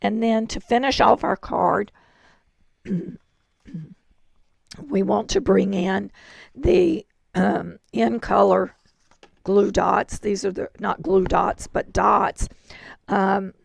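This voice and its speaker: Hoarse woman, gravelly and unhurried, chatty craft instructor, close dry sound. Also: medium pitch, no room sound. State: hoarse, throat-clearing. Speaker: card-making instructor. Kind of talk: crafting tutorial.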